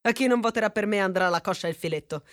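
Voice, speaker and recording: A woman's voice: mid-range voice, rapid-fire, close to an everyday voice. A woman playing a blustering, curt military man making a declamatory speech in a theatrical radio play. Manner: declamatory, clipped pronouncements. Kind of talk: theatrical stage-style radio drama.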